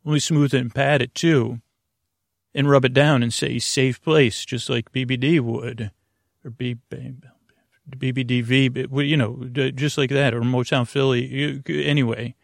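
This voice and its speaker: A man with a creaky voice hosting a sleep podcast, giving a meandering, soothing bedtime monologue that halts and drifts off mid-thought. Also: mid-range voice, steady tone, no echo, studio quality. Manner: soothing, lulling delivery; digressive, halting, trailing off mid-thought.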